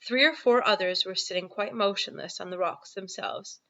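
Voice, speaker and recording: Bright-voiced woman narrating a children's story. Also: medium pitch, moderate pace, lo-fi sound. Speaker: primary school teacher reading aloud.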